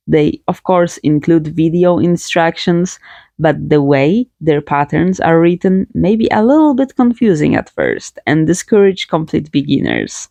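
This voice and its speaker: A woman's voice: low-pitched, vocal fry, darkish tone, no echo. Woman reviewing crochet kits. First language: Polish. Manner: thoughtful, hedging, plain-spoken. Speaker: crochet channel host. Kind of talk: product review vlog.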